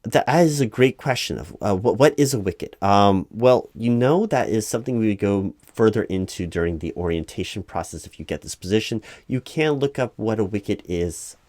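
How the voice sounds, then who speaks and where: A man playing a recruiting manager in a soft-of-script, improvised ASMR interview roleplay, speaking aloud in a halting, officious, explanatory way. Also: fairly low pitch, animated, no echo. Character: flustered, officious male recruiting manager at a company. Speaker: job interviewer in a one-sided roleplay.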